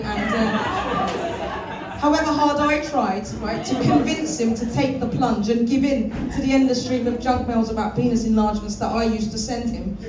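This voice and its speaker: A woman with a London accent doing a crude, chatty stand-up comedy set in a club, swearing freely. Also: mid-range voice. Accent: London English. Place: comedy club stage with live audience. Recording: echoey club room, mic at a distance, audible room noise.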